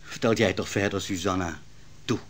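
A man's voice: low-pitched, steady tone, moderate pace, a bit bright, slight background noise. An actor playing a royal counsellor fearing for his wife, speaking earnestly and with agitation in a vintage radio drama. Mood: agitated. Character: royal counsellor, Susanna's anxious husband.

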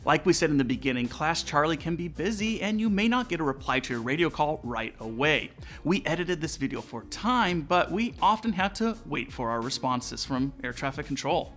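Bright, animated American man presenting. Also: a little high, normal speed. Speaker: aviation channel host. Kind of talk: scripted explainer narration to viewers. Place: indoor studio room with aviation decor. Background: music.

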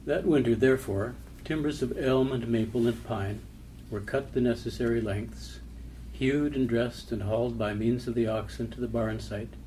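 A man's voice: medium pitch, steady tone, normal speed, warm tone, a little hiss or hum, rough recording. A man giving a scripted reading of documentary poetry and old records.

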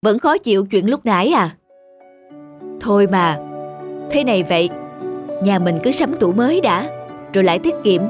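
A man's voice: high voice, animated, normal speed, pauses often, faint music behind. A man voicing a considerate, patient husband in a cartoon, earnest and placating. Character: mild, earnest, considerate husband and older brother.

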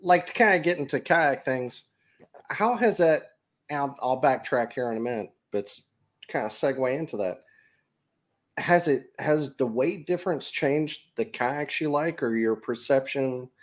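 Middle-aged male podcast host on a webcam, halting, keeps rephrasing his questions. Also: mid-range voice. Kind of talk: casual video-call interview. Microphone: video call webcam mic.

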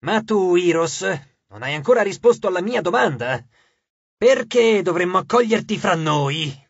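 A man voicing a scornful, sharp-toothed ghost suitor with a mocking, domineering manner. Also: sits high, animated, normal speed, slightly rough, no echo, muffled recording, full character voice. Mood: scheming.

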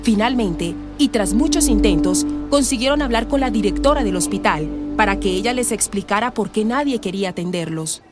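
A woman's voice: medium pitch, expressive, brisk, few pauses, loud music behind the voice, lightly acted. A Colombian woman narrating a scripted radio soap opera as its storyteller.

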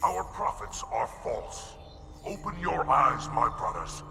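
A fervent rebel alien rallies his brothers in a gravelly voice that swoops wildly in pitch. Loud orchestral music plays beneath. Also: very animated, slow pace, full character voice. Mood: defiant. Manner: impassioned, rousing speech. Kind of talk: video game cutscene acting. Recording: loud orchestral score behind.

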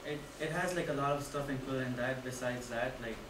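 A man's voice: medium pitch, fairly quick. Young man presenting in an echoey classroom. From India. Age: young adult. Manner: casual, filler-heavy, loose phrasing. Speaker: student presenter. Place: dim classroom with projector. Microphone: far camera. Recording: echoey room from far off with noise.

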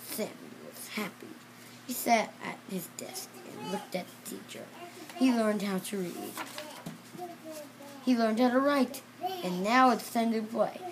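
Little kid reading a picture book out loud, slow and stumbly, lots of background noise. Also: medium pitch. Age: child. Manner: halting, repeats and corrects words. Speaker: reader. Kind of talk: reading a children's storybook aloud.

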